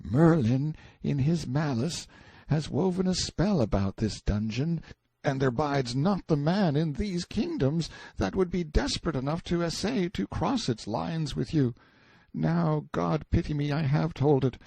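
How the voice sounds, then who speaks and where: A man's voice: fairly high pitch, huge pitch swings, takes it slowly, warm tone, husky, no room sound, voice pushed up, heavily acted. A man voicing a timid young medieval page boy who speaks in archaic, courtly exclamations in an audiobook story. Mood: fearful, pleading. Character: timid, light-hearted medieval page boy, superstitious and easily frightened.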